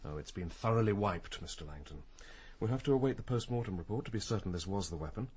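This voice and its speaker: An actor playing a courteous, authoritative senior police detective speaking deliberately in a radio crime drama. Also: male voice, lowish voice, lively intonation, darkish tone, slightly rough.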